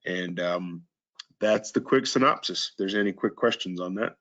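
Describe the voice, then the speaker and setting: A man giving a verbal update at a video meeting, hoarse and recovering, hesitant with frequent ums and throat clearing. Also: deep voice, moderate pace, a bit bright. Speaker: meeting presenter. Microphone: video call. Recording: dull lo-fi call audio.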